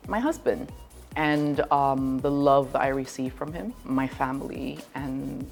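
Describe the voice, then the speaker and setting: Low, gravelly-voiced young woman. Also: a little slow, soft background music. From Thailand. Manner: expressive, animated, laughs often. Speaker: guest in a debate show. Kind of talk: casual conversation.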